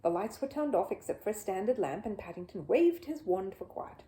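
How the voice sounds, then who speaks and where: A woman reading a children's story aloud in an expressive, animated way. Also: mid-range voice, fairly quick.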